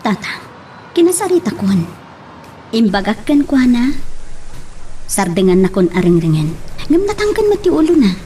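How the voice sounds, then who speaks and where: A woman's voice: on the high side, sing-song, moderate pace, pauses often, dark tone, slight background noise. A woman playing a hurt, stubborn young woman wronged in love in an Ilocano drama. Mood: stubborn, upset. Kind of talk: Ilocano radio-style drama acting.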